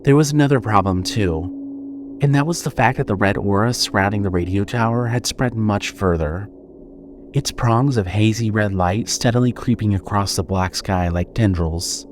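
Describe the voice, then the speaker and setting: Low-voiced man narrating horror fiction. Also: a little hiss or hum. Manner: measured, suspenseful storytelling. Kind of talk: scripted horror story narration.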